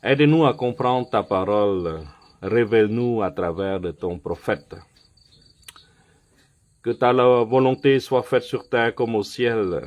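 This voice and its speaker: A man with a fairly low voice preaches in measured, unhurried phrases. He is not a native speaker, so his French is careful and has small slips. He stops often to ask his flock "ok?" before going on. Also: darkish tone. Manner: slow and deliberate, checks in with "ok?", many pauses and fillers. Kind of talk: sermon and Bible teaching.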